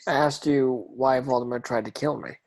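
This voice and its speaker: A man's voice: mid-range voice, fairly even intonation, moderate pace, a little mellow, a little hiss or hum, close to an everyday voice. A man playing a troubled, distrustful teenage boy wizard in a script read-through, in a plain, questioning manner. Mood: resentful.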